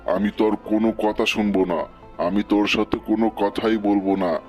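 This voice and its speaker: Man acting a deep-voiced, stern forest chief. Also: fairly even intonation, normal speed, darkish tone, faint music behind, natural voice. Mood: angry, condemning. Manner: scolding, authoritative. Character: stern, indignant male forest chief animal judging villagers. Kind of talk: cartoon dialogue.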